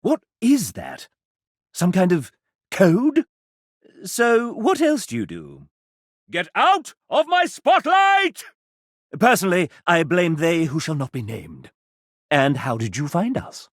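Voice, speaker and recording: An actor voicing a sassy, flamboyant video game host, speaking in a grandiloquent, arch and melodramatic way. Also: male voice, sits high, a bit bright, no room sound, clean studio sound, exaggerated voice. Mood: teasing, showy. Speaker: voice actor playing a game show host character. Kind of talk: theatrical video game character acting as a game show host.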